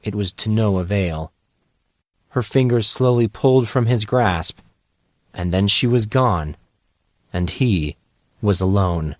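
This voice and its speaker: A man reads fiction aloud as a dramatic narrator. His voice sits fairly low, and the sound is dry, with little room around it. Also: takes it slowly, long pauses, no room sound. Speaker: audiobook narrator.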